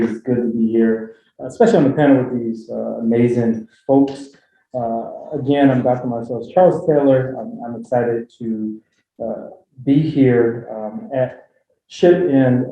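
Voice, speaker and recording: A man from Philadelphia with a Philly accent, giving a joking, filler-heavy talk on a university panel with a handheld mic. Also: mid-range voice. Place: university forum room. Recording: echoey lecture room, dull sound.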